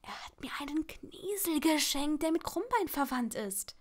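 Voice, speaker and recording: A woman voicing an earnest, anxious young woman in an audiobook story, whispery, breathy and pleading. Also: sits high, big pitch swings, very fast, pitched above the performer's own voice. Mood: moved.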